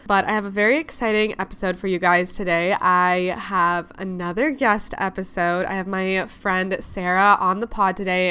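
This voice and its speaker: A woman hosting a podcast in a chatty, upbeat way. Her voice sounds a little congested, yet it stays smooth and clear. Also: lowish voice, bright, forceful tone. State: congested, recovering from lost voice. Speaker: podcast host. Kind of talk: casual podcast chat.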